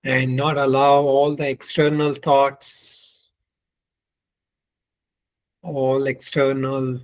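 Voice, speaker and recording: Male meditation guide. Very slow, measured delivery with little melodic movement and long pauses. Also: medium pitch, a bit bright, rough recording. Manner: deliberate, measured, with long pauses between short phrases. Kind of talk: guided meditation, spoken instruction.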